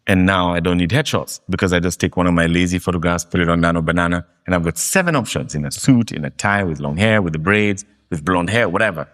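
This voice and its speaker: A man from Kenya, a guest in a fireside interview, telling stories in an animated, emphatic way. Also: deep voice, quick pace, hardly any pauses. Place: live meetup venue.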